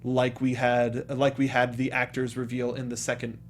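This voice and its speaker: A man's voice: mid-range voice, monotone, moderate pace, slight background noise. A man hosting a casual panel chat about video games, giving eager opinions with hesitant restarts. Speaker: show host.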